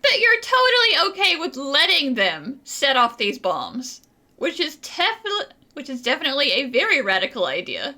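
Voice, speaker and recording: Female gaming streamer, high bright voice, very varied intonation, casual commentary, animated, opinionated. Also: moderate pace. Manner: animated, opinionated, indignant on characters' behalf. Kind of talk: casual let's-play commentary and reading aloud.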